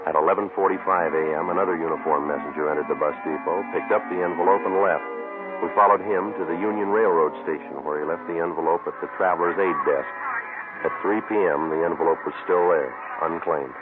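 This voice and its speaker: An American actor playing a terse, businesslike police sergeant in a clipped, deadpan 1950s radio drama style. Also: male voice, deep voice, fast, over music, lightly acted. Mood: deadpan, matter-of-fact. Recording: muffled, noisy old-time radio recording.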